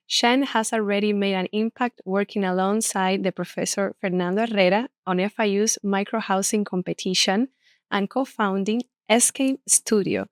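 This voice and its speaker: A woman's voice: medium pitch, no echo, clean studio sound. A woman hosting an architecture podcast, introducing and encouraging her guest. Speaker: podcast host. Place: studio.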